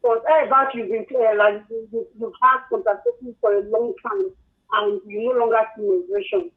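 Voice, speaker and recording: A woman's voice: fairly high pitch, normal speed. Woman caller, hesitant, lots of fillers, tinny phone line.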